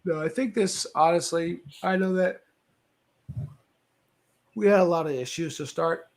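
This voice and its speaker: Man, higher-pitched voice, movie show co-host, casual chat, video call. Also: unhurried, creaky.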